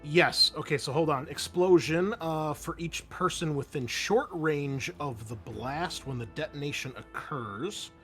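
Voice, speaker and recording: Guy GMing a tabletop rpg, thinks out loud, kinda halting, music going pretty loud behind him. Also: a little high. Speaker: game master. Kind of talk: running a tabletop roleplaying game, explaining rules.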